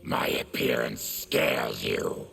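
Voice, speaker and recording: An actor playing a bitter, diseased, monstrous former soldier in a fighting game, growling with grim menace. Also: male voice, a bass voice, animated, takes it slowly, fairly bright tone, a little hiss or hum, heavily acted. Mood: menacing. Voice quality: gravelly, growling. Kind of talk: video game fight intro dialogue.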